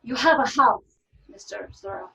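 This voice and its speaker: A woman reading the part of a composed lawyer in her fifties, formal and measured, for a TV drama table read. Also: fairly high pitch, gravelly, pushed and bright, roomy sound, low-quality recording. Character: Madeline, composed 55-year-old female small-town lawyer.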